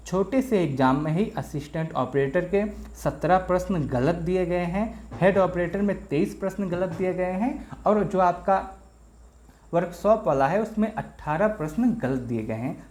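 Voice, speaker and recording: A man's voice: high-pitched, lively intonation, normal speed, a little mellow. A man hosting an exam-prep explainer video, addressing viewers directly as friends and walking through worked examples step by step, often repeating himself.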